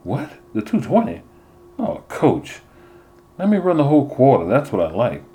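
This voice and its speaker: A man's voice: medium pitch, huge pitch swings, moderate pace, dark tone, pitched above the performer's own voice. A man voicing an eager, impatient teenage boy who is a runner, speaking with a pleading tone.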